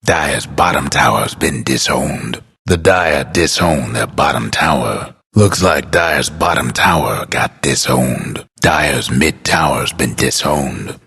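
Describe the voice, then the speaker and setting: An actor playing a laconic, folksy, world-weary male game announcer with an American accent and a gravelly, husky voice. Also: very deep voice, sharp tone, exaggerated voice. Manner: folksy, unhurried, understated. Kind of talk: scripted game announcer lines.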